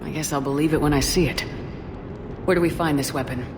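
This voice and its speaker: A woman playing a steady, commanding space soldier in a video game, firm, direct and controlled. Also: low voice, a little fast, noisy background. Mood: brisk, skeptical. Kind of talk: dramatic video game voice acting.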